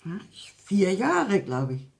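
An actress playing an imperious, gossipy society lady in a radio play, measured and deadpan. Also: mid-range voice, gravelly, heavily acted. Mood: gossipy, matter-of-fact. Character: dry, matter-of-fact aristocratic society lady, gossipy and imperious. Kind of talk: 1960s German radio play acting.

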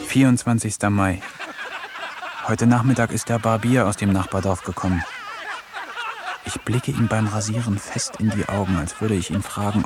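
A man's voice: fairly low pitch, natural voice. A man playing a sardonic, lonely village eccentric. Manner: dry diary narration, mocking asides. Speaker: narrator and lead. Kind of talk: German radio play.

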